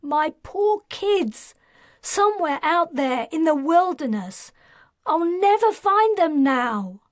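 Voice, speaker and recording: A woman doing a distraught, high-pitched ex-Marine mother. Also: big pitch swings, extremely slow, fairly bright tone, no room sound, studio quality, heavily acted.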